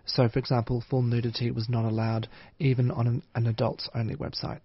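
A man, a policy advisor guest in a radio studio interview, explaining things in a measured way. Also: medium pitch, flat delivery, slightly rough, dry recording, muffled recording.

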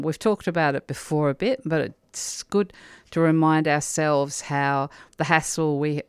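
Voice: medium pitch, clean tone, no room sound, clean studio sound. A community radio host, chatting casually between songs with small restarts.

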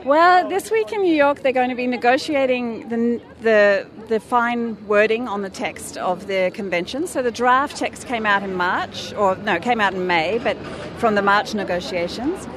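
A woman's voice: a little high, moderate pace, few pauses, fairly bright tone. An Australian woman speaking enthusiastically and off the cuff as a campaign organiser in a street interview. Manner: enthusiastic, effusive. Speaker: anti-nuclear campaign organiser being interviewed. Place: outdoors in a city street. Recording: outdoor city recording with crowd noise.

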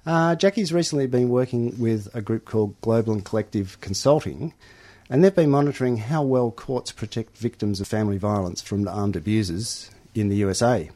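Mellow-voiced hesitant radio host man. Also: lowish voice, a little fast. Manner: hesitant, many uhs and ums. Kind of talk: community radio hosting. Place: radio studio.

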